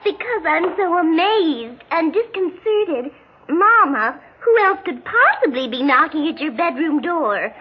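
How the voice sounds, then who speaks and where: Casting: girlish radio-play daughter, extremely high voice, affectionate yet fretful, melodramatic; thin, hissy 1960s sound. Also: big pitch swings, unhurried, heavily acted. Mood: exasperated. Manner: melodramatic, prim, old-fashioned turns of phrase. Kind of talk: 1960s radio drama acting. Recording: muffled, noisy 1960s radio recording.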